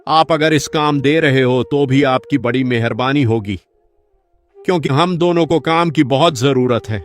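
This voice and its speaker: Humble, God-trusting elderly uncle played by a man, animated folk tale; earnest, mid-pitched, music bed. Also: a little slow, lightly acted. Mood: humble, pleading. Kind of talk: Hindi animated moral-story dialogue. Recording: music behind the voice.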